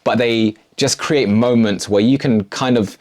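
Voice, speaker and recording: A man's voice: medium pitch, fairly even intonation, a little slow, a little mellow. A man presenting a casual music production tutorial in an enthusiastic, slangy way. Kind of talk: casual video tutorial narration.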